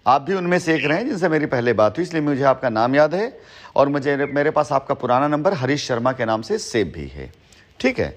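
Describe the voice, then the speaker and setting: A man talks on a recorded phone call. His voice sits at a middle pitch. He is assertive and long-winded, often repeating himself to press his point. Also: big pitch swings, a little fast. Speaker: company head on a phone call with a former associate. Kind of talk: phone conversation in Hindi mixed with English words.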